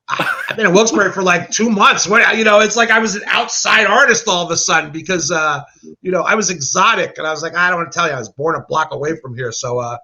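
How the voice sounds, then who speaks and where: An American man chatting casually as a guest, telling anecdotes and acting out the quotes. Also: high voice. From United States. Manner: animated storyteller who acts out quotes. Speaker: guest, animator.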